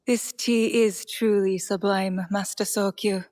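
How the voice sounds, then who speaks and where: A woman's voice: mid-range voice, steady tone, slow pace, a little mellow, no room sound, close to an everyday voice. An actress playing a stern, refined noblewoman of feudal Japan, formal and poised, in a video game cutscene. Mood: poised, polite.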